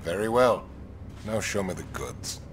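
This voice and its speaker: An actor playing a menacing, vengeful terrorist leader in a video game, with a theatrical, drawn-out delivery. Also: male voice, low-pitched, expressive, noisy background. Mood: sly, menacing. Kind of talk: video game cutscene acting. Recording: loud music behind the voice.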